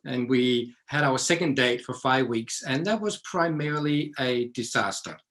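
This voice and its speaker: A man, a guest telling his story in a podcast interview in an unhurried, reflective way. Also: medium pitch, expressive, a bit bright. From Denmark. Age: 60s.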